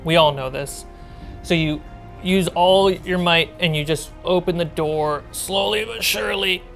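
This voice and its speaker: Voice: mid-range voice, measured pace, a bit bright. A game master improvising tabletop narration in a conversational, slightly hesitant way. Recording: music playing behind the voice. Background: music.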